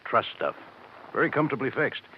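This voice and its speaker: An American actor playing a brisk, hearty insurance claims manager in a 1950s radio drama. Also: male voice, mid-range voice, very animated, lightly acted. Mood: businesslike. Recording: noisy, muffled 1950s radio recording.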